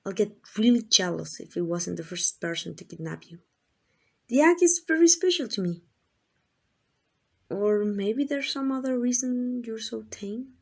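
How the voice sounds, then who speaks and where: A woman's voice: a little high, lively intonation, unhurried, creaky, a little mellow, heavily acted. A woman playing a lovesick, possessive female kidnapper in an intimate ASMR roleplay, doting and rambling in a flustered, coaxing way. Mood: doting, teasing. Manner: coaxing, rambling, hesitant asides.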